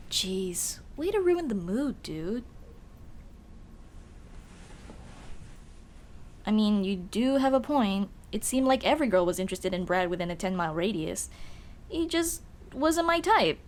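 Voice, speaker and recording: A woman playing a young tomboy childhood friend in an ASMR roleplay, speaking in casual boyish slang with hesitant pauses. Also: mid-range voice, sing-song, a little hiss or hum, close to an everyday voice. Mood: scornful, blunt. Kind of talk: ASMR roleplay, intimate one-sided dialogue.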